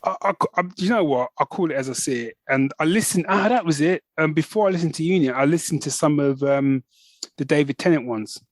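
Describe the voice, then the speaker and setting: A man's voice: a little high, a little fast, dry recording. A man co-hosting a podcast, chatting casually and enthusiastically, with frequent restarts. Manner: enthusiastic, rambling with many restarts. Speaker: podcast co-host. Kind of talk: casual chat.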